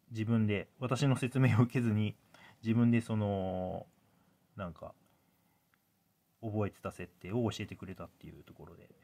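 A man in his thirties speaks in a fairly low voice. He explains things casually, like a parent vlogging to viewers. His delivery is hesitant, with phrases that trail off as he thinks aloud.

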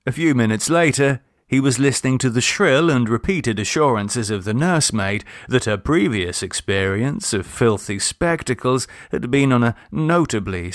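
A man narrating a literary short story in a measured, expressive, formal storytelling manner. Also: medium pitch, no echo, clean studio sound. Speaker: audiobook narrator.

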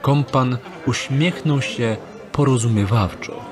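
A man's voice: mid-range voice, slow, a crowd in the background. A man narrating a fantasy audio drama from a script as storyteller.

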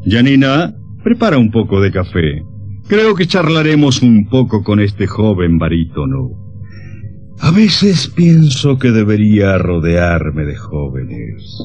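A man's voice: lowish voice, big pitch swings, takes it slowly, a little mellow, muffled recording, soft background music, exaggerated voice. A man playing an intense, moody, eccentric musical maestro in a radio horror drama, theatrical and emphatic. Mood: weary, musing.

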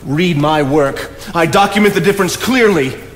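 A man's voice: fairly high pitch, normal speed, close to an everyday voice. Man acting indignant young idealist, echoey stage. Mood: defensive. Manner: argumentative, formal, emphatic. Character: indignant, idealistic young nature-loving writer. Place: theatre stage, indoors. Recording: echoey theatre stage, background hiss.

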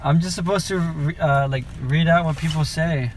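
A man's voice: fairly high pitch, steady tone, normal speed, noisy background. A man in his twenties, laid-back and joking around while sitting in a parked car at night, filmed from the passenger seat. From Philippines. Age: 20s. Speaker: friend. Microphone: camera held from passenger seat.

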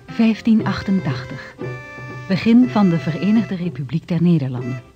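A man's voice: a little high, mellow. A man narrating a historical radio play in a solemn, declamatory manner. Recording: dull vintage radio recording with music.

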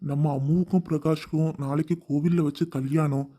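A woman voicing a stern middle-aged father who speaks in a firm, measured way. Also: low voice, fairly even intonation, mellow, pitched below the performer's own voice.

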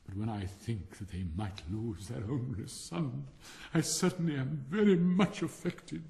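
A man's voice: mid-range voice, measured pace, gravelly, mellow. A man voicing a pompous, self-pitying firework rocket in a storybook, haughty and melodramatic, with a Received Pronunciation accent. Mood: indignant. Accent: English Received Pronunciation. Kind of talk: theatrical storybook character reading.

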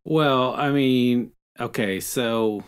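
A middle-aged American man chatting as a podcast co-host, telling anecdotes in a halting, self-correcting way. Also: mid-range voice, clear, resonant tone. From Texas, United States. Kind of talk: casual conversational storytelling.

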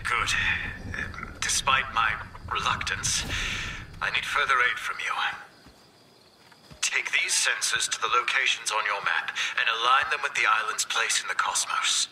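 An actor playing a grave, secretive scientist of the stars in a video game, speaking in a solemn, halting, formal way with a hushed, breathy voice. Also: male voice, medium pitch, a little hiss or hum, rough recording. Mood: reluctant. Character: grave, secretive cosmic scientist serving a champion. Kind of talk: video game audio log acting.

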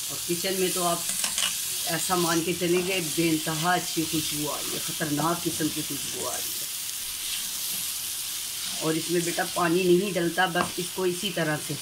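A woman's voice: sits low, fairly even intonation, a little slow, pauses often, very noisy. A middle-aged woman hosting a home cooking tutorial, talking viewers through each step in a chatty, homely way, recorded in her kitchen. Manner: chatty, homely, addresses viewers warmly as family. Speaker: cooking channel host. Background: frying and stirring sounds.

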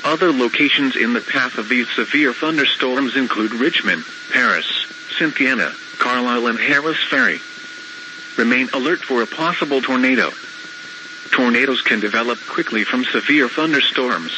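A synthetic male announcer reading a formal, measured severe weather bulletin over the radio. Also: mid-range voice. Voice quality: synthetic text-to-speech voice. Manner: formal, measured, flatly procedural. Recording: noisy weather radio broadcast recording.

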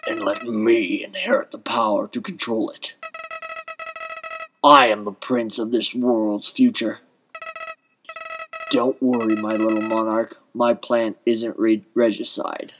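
A woman voicing a smug, taunting evil little flower creature with drawn-out, mocking delivery. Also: very deep voice, little pitch movement, voice pushed down. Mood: quiet, menacing. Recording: game music behind.

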